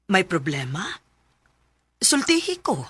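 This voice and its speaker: A woman with a Cebuano Filipino accent playing a caring, wise mother in a radio drama, gentle and expressive. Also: lowish voice, slow, breathy edge, no echo, heavily acted. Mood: gentle, reassuring. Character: caring, wise older mother counselling her widowed daughter. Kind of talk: Philippine radio drama acting. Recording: music bed under the voice.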